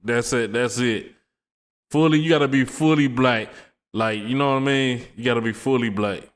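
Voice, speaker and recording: Man, co-host, blunt, teasing, slangy, mid pitch, long reverb. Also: normal speed. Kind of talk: casual chat.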